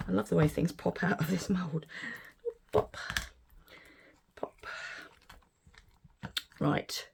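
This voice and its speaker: A woman's voice: lowish voice, slow, vocal fry, pauses often, darkish tone, slight background noise. A middle-aged woman chatting casually through a resin craft demonstration, giggly and easily delighted, while losing her voice. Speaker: resin art vlogger.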